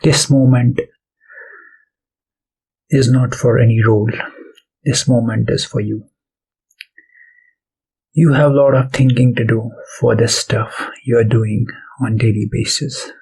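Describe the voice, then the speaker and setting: A man leading a guided meditation as its host, speaking softly and deliberately in short phrases with pauses between them. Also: mid-range voice, fairly even intonation, vocal fry, breathy edge, poor audio. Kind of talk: guided meditation, soft spoken instruction. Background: background music.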